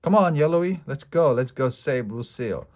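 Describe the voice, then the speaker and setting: Excitable bossy little schoolgirl, breathless rushing kid talk, dramatic, mid-pitched, as a man reads her. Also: lightly acted. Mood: excited.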